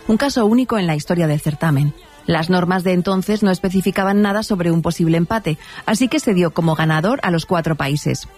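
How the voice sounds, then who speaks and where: A woman narrating a documentary in a steady, factual scripted read. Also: on the low side.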